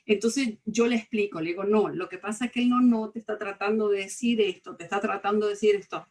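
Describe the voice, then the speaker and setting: Animated Argentine woman, slightly distant mic. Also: medium pitch, fast. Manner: animated, acts out quoted dialogue. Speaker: pastor. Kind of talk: conversational testimony in a church class.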